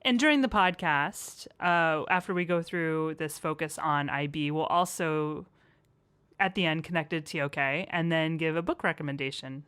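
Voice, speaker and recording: A woman teacher co-hosting a casual podcast, cheerful and quick to laugh. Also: low-pitched, fairly bright tone, clear, resonant tone, soft background music.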